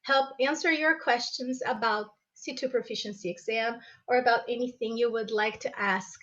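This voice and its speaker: A woman from Brazil, an English teacher, hosting an online group call in a measured, welcoming way. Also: on the high side, fairly bright tone. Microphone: video call. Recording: dull lo-fi call audio.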